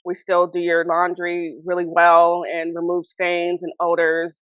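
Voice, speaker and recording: A woman with a somewhat low voice chats casually on a podcast, laughing often and trailing off with fillers and restarts. The sound is dry and a little dull and lo-fi. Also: completely flat delivery, a little slow, clean tone, dry recording. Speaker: guest, founder of a cleaning products brand.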